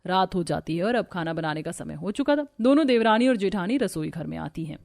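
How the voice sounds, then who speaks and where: A woman narrating a scripted animated family story. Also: lowish voice, a little fast, creaky. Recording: music behind the voice.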